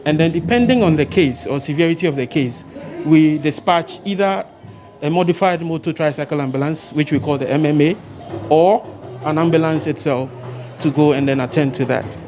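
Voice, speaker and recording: Higher-pitched Ghanaian man explaining over music. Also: moderate pace, a little mellow. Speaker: ambulance service IT deputy director. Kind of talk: interview explanation.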